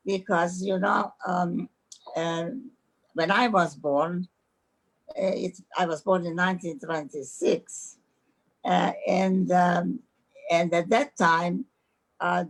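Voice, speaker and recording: A woman in her nineties from Romania, native Hungarian speaker, recalling her childhood as an interview guest, halting with many fillers over a video call. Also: fairly low pitch, rough recording. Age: 90s. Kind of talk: recalling her life in conversation.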